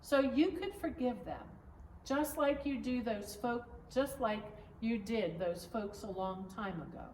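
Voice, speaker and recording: Female storyteller, higher voice, measured and expressive children's read-aloud; echoey recording with slight background noise. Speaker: pastor reading a story aloud. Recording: roomy echo, distant mic, background noise.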